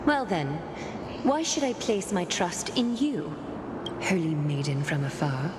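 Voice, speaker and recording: A woman playing a composed, shrewd ruler in a fantasy game, speaking in a measured, formal and imperious way. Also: fairly low pitch, very animated, noisy background. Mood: coolly challenging. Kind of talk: scripted game voice acting. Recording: game audio with music behind.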